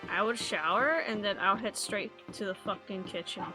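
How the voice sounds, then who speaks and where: A woman's voice: mid-range voice, moderate pace, sharp tone, soft background music, rough recording. A woman chatting along with a game streamer, giggling and making crude jokes. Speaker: co-player. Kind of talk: casual chat.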